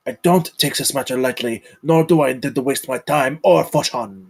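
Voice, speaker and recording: A man playing a grave, courtly count in a screenplay table read, speaking formally and with measured solemnity. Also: mid-range voice, creaky, breathy edge. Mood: stern. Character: commanding, grave, courtly count leading a supernatural hunt.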